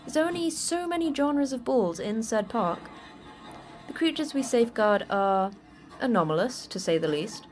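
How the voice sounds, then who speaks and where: A woman playing a terse, teasing manager of a secret monster facility in a fiction podcast, with a brisk, dry, flippant delivery. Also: fairly high pitch, very animated, darkish tone, soft background music. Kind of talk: audio fiction podcast acting.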